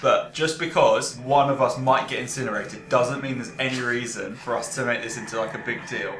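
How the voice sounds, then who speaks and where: A man's voice: medium pitch, fairly even intonation, brisk, a bit bright. A man in his twenties playing a tabletop game, chatting casually in a rambling way. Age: 20s. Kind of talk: casual improvised in-character chat. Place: domestic dining room. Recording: roomy home recording, faint noise.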